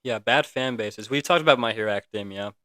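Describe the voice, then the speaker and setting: A young man on a casual podcast, halting and filler-heavy. His voice is smooth and clear, recorded dry and close. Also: on the low side, bright, forceful tone, no echo. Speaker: podcast co-host. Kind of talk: casual chat.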